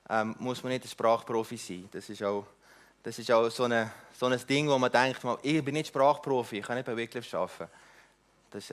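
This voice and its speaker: A middle-aged man whose first language is not German gives an earnest church talk about mission, halting often with restarts and fillers. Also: mid-range voice. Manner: earnest, inviting. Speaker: mission speaker.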